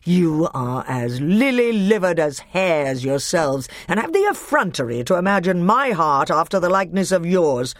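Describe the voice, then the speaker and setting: A man's voice: mid-range voice, sing-song, normal speed, no room sound, clean studio sound. A man reading aloud voices an angry, proud middle-aged usurper king who growls and roars. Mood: furious. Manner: growling, roaring, blustering.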